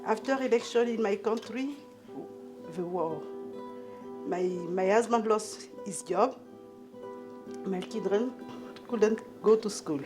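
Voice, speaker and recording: Slow-speaking woman with Ivorian French accent. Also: medium pitch, music in the background. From Ivory Coast. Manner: halting, searching for words in limited English. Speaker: documentary interviewee, newcomer immigrant. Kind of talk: personal account in an interview.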